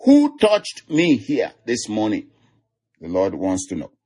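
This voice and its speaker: A man with a Nigerian accent preaching an online sermon, laughing softly between lines and repeating declarations. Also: fairly low pitch, huge pitch swings, slow, slightly rough. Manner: chuckles often, repeats declarations.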